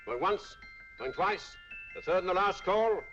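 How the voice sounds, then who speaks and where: An actor playing a chatty, coaxing auctioneer in an old film. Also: male voice, sits high, animated, measured pace. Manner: chatty salesman patter, coaxing and chuckling. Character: jovial fast-talking London auctioneer, male, middle-aged. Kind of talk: 1940s film dialogue. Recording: dull old film soundtrack with music.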